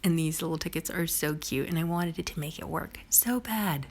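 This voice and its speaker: A young woman with a hoarse, raspy voice from a cold, chatting casually through a crafting video voice-over. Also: sits low, normal speed, a little mellow. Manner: chatty, bubbly, lots of "like" and "um". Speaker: crafting vlogger.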